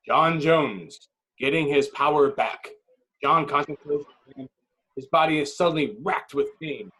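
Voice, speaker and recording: A man's voice: a little high, fairly bright tone, rough recording. A man narrating a screenplay's action with intense, clipped dramatic phrasing. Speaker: narrator reading stage directions.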